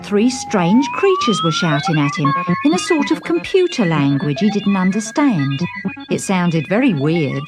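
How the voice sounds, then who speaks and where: A woman narrating a scripted children's story in a dramatic, expressive storytelling manner. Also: on the low side, unhurried, music in the background, low-quality recording.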